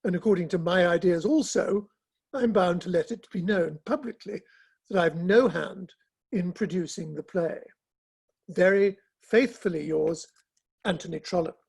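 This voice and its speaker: An earnest, frank Victorian gentleman, played by a man in a stage reading. The voice is husky, and the delivery is measured and formal, that of a principled friend. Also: high voice, heavily acted. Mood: earnest, firm. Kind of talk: online dramatic play reading.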